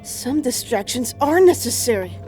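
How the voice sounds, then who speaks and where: A woman's voice: a little high, expressive, normal speed, dark tone, lightly acted. Woman as a solemn, theatrical killer with music behind. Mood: earnest resolve. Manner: solemn, grandiose, formal. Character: earnest, theatrical female killer devoted to her code. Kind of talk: amateur audio drama acting.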